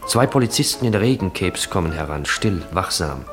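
A man narrating scenes in a German literary radio drama in a measured, matter-of-fact way. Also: sits low, animated, music in the background. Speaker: narrator of stage directions.

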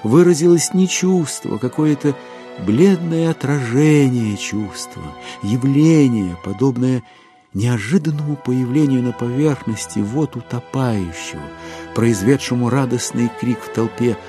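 A man narrating a literary audio drama as a measured, scripted storyteller. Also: mid-range voice, warm tone, soft background music, close to an everyday voice. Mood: grave.

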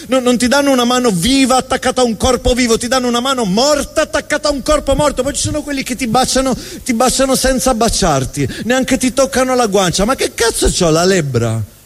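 A man performing a theatrical spoken monologue on stage, with emphatic, rhetorical delivery and deliberate repetition. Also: very high voice, animated. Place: live stage in a reverberant venue. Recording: reverberant hall, live stage sound.

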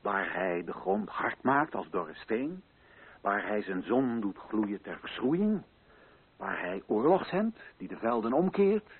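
A solemn, sweepingly declamatory colonial official. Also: male voice, medium pitch, husky. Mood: fervent, solemn. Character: earnest colonial official solemnly addressing local chiefs. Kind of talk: theatrical rhetorical oratory, old-fashioned radio recitation. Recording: muffled lo-fi old radio recording.

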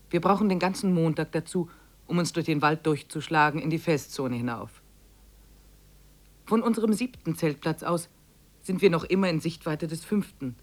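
An actress playing a weary trekker who narrates her expedition diary in measured, literary phrases for a 1950s radio play. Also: low-pitched.

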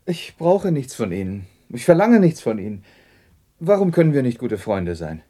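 A man playing a warm-hearted, generous nephew in a radio drama, earnest and pleading. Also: mid-range voice, very animated, normal speed. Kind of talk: 1960s German radio drama acting.

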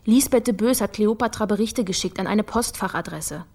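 Female investigator for radio crime drama played by an actress: mid-range voice, clear-headed, matter-of-fact, methodical. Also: medium pitch, moderate pace, a little mellow, lightly acted. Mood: analytical. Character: sharp, methodical woman investigator working for the prosecutor. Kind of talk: naturalistic German radio crime drama acting.

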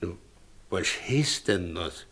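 An actor playing a good-natured but commanding village husband in a German radio play, plain-spoken and in Silesian dialect. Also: male voice, sits low, animated, slow, fairly bright tone, full character voice. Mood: firm, protective. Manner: plain-spoken, directing others.

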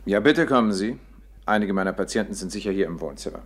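Low-voiced, courteous sanatorium doctor. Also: male voice, expressive, husky. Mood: reassuring. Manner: courteous, measured, reassuring. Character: polite, evasive, smooth male sanatorium director and doctor. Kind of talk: 1950s German radio play acting.

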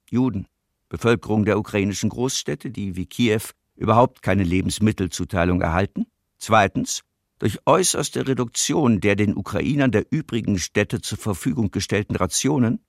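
A cold wartime bureaucrat in a radio docudrama. He reads formal policy in a low, gravelly voice with very little room sound. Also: dry recording, clean studio sound. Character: cold male Nazi war bureaucrat reading policy. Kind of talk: documentary radio play, read official document.

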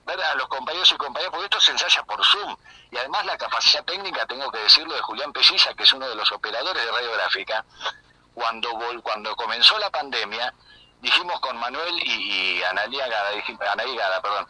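Male radio interviewee, Argentine accent, very bright and tense tone, earnest enthusiasm, telephone-style sound. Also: mid-range voice, expressive, a little fast, low-quality recording. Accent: Argentine Spanish. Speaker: interview guest, community radio communicator and project coordinator. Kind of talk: conversational radio interview, explaining at length.

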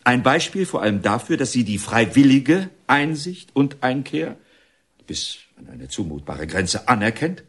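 An actor playing a stern, self-important middle-aged reformatory director in a German radio drama, speaking formally and deliberately with a husky voice. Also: male voice, medium pitch, very animated. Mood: probing, lecturing.

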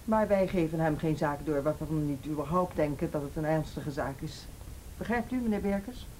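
An actress in a radio play playing a stern, unflinching police interrogator, blunt, deliberate and accusing. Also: female voice, deep voice, darkish tone, natural voice. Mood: cool, firm. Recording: faintly noisy radio drama recording.

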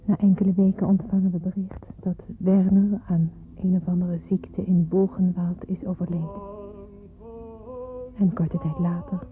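A woman's voice: lowish voice, flat delivery, a little slow, a little hiss or hum, natural voice. A woman playing an earnest sixteen-year-old girl who tells of wartime grief in a radio drama, solemn and emotive. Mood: grieving, subdued. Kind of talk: radio drama narration and acting. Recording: muffled narrow-band old radio recording.

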